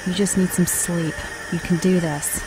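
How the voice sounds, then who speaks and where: A woman's voice: on the low side, flat delivery, normal speed, noisy background, lightly acted. A woman playing an earnest, troubled TV star in an amateur audio play, hesitant and uneasy. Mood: anxious. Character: uneasy, bewildered TV star woman in surreal drama. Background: music.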